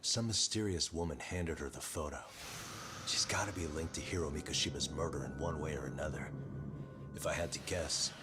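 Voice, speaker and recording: An actor playing a determined, analytical private detective in a video game dub, self-assured in manner. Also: male voice, rumbling low, brisk. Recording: loud music behind dialogue.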